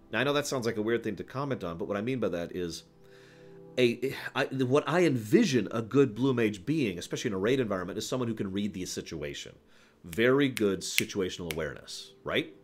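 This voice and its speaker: A man hosting a livestream, chatting casually about video games in an emphatic, explanatory way, often checking that listeners follow. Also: on the low side. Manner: emphatic, explanatory, checks understanding with short tag questions. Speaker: livestream host.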